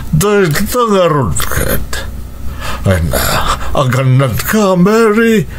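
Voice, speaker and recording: A man's voice: high-pitched, huge pitch swings, slow, a little hiss or hum, exaggerated voice. A man with an Ilocano accent acting an earnest, emotional male figure in a radio family drama. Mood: concerned. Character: men in family melodrama: pleading ex-lover, concerned uncle.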